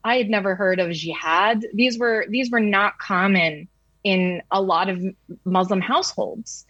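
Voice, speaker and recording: A woman's voice: mid-range voice, a little slow, breathy edge, no room sound, muffled recording. Candid American woman reporter. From United States. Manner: candid, conversational, animated. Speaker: news reporter. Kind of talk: interview recollection.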